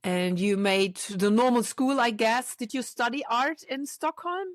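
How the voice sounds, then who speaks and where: A woman's voice: fairly high pitch, a little slow, no echo, clean studio sound. A woman hosting an interview, a German speaker talking English, asking questions and adding brief encouraging remarks. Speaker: podcast host. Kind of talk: casual interview.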